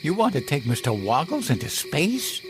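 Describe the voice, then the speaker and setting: Audio comedy casting: male actor as childish, sentimental head of state, hesitant and earnest, very animated. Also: on the high side, normal speed, music in the background. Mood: hesitant, possessive. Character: childish, sentimental male US president attached to his teddy. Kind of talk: comedic audio drama acting.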